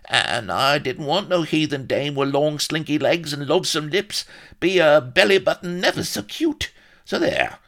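A man's voice: fairly low pitch, very animated, slow, gravelly, voice pushed up, exaggerated voice. A man voicing a shifty, whimpering old rustic castaway who rambles in thick West Country dialect. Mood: shifty, defensive. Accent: English West Country. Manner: rambling, wheedling, dialect-heavy.

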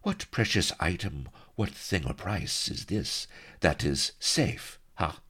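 A man's voice: sits low, animated, slow, a bit bright, husky, pitched above the performer's own voice, full character voice. Man as a silky, hissing pirate villain. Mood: silky menace. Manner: menacing, mock-courtly archaic speech. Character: suave, wolfish, dangerous male pirate villain.

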